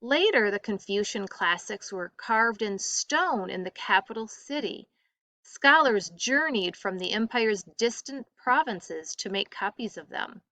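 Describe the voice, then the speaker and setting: A woman teacher reading a textbook aloud to a class with deliberate, emphatic phrasing. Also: mid-range voice, animated, pushed and bright, no room sound.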